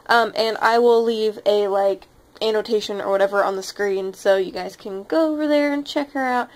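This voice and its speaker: A woman's voice: medium pitch, moderate pace. A young woman with a nasal, slightly sick-sounding voice, chatting casually and rambling with many ums and likes as she hosts her art channel. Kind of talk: casual voice-over chat over a speed paint.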